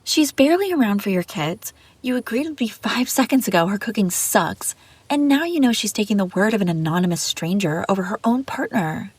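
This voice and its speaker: A woman's voice: mid-range voice, expressive, a little fast, slightly rough, no echo. A woman playing an obsessive, scheming young babysitter in an intimate audio roleplay, pleading and defensive. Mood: confessing, insistent. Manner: pleading, defensive, hesitant then insistent. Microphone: close, dry microphone.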